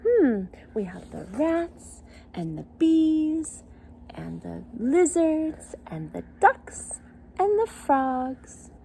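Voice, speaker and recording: A woman's voice: high-pitched, sing-song, extremely slow, gravelly, warm tone, slight background noise. A woman reading a picture book to young children in a sing-song style, with simple child-directed phrasing and little questions. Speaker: children's circle time teacher and story reader.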